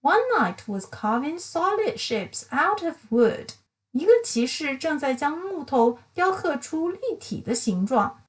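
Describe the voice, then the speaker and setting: A woman reading a picture book aloud with clear, even storytelling. Her voice is high and moves a lot in pitch. She keeps an easy read-aloud pace. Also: a little slow. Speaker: storybook reader.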